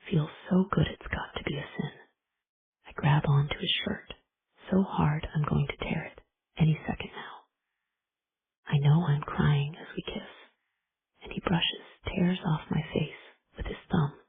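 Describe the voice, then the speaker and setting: A woman narrating a first-person romance audiobook in a hushed, intimate whisper. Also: low-pitched, gravelly. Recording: tinny phone-like recording.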